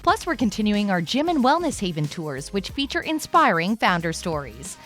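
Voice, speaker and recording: A woman's voice: mid-range voice, big pitch swings, moderate pace, a bit bright, faint music behind. A woman hosting a podcast, chatty and upbeat as she interviews a guest. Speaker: podcast host.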